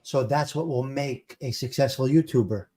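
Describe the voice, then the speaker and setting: A man from New Jersey, with the accent to match, hosts a casual livestream chat. His medium-pitched delivery is plain-spoken, with phrases repeated for emphasis. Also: normal speed, mellow, a little hiss or hum. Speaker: livestream host.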